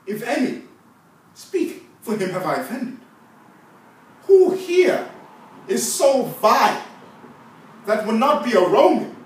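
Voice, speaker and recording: A man's voice: extremely high pitch, big pitch swings, takes it slowly, pauses often, slight background noise, voice pushed up, exaggerated voice. A man performing a classical stage monologue as a proud, solemn Roman nobleman, with declamatory, emphatic delivery. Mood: defiant challenge. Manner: declamatory, emphatic rhetorical delivery with big swells. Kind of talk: classical theatrical monologue, Shakespearean oratory. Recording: very echoey room, distant microphone.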